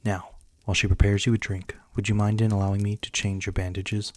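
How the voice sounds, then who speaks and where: A man playing a reclusive, protective vampire lord in an ASMR roleplay, speaking formally and courteously in measured words. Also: lowish voice, flat delivery, slight background noise, close to an everyday voice. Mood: courteous, soothing. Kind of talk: ASMR roleplay acting, addressing the listener.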